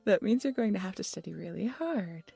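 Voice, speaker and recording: A woman voicing an old grandmother storyteller in an audio drama, speaking in a breathy, hushed and deliberate way. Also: mid-range voice, lively intonation, no room sound. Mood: doting, teasing. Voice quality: breathy, whispery. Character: gentle old grandmother storyteller, turning eerie and insistent. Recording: music under the voice.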